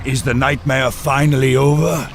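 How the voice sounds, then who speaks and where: A man's voice: medium pitch, fairly even intonation, moderate pace, soft background music, natural voice. A man playing a proud, hostile human military commander in a video game, with a pompous, scornful delivery. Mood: distrustful.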